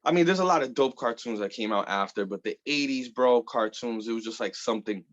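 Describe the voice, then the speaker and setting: A man's voice: mid-range voice, fairly quick. Thirties guy from the bronx, rambling casual talk, says bro a lot, kinda dull lo-fi sound. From the Bronx, New York. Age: 30s. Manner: loose and rambling, full of "like" and "bro", swears casually. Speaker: guest artist. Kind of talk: casual interview chat.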